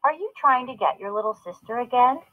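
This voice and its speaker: A woman's voice: a little high, animated, fairly quick, phone-quality sound, pitched above the performer's own voice. A woman voicing a stern, insistent mother who scolds her children in a storybook. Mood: scolding.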